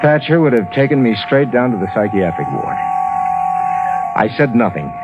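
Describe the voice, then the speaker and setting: An actor playing a haunted, bewildered man narrating his own strange story, troubled and dramatic, in 1950s radio drama style. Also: medium pitch. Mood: resigned, haunted. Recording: dull vintage radio, loud music behind.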